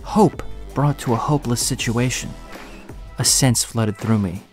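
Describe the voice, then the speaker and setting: A man's voice: mid-range voice, measured pace, soft background music, natural voice. A man acting a young slum hero who tells his own story in an audio drama, earnest and emotional. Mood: reflective, earnest. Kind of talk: first-person audio drama narration with acted dialogue.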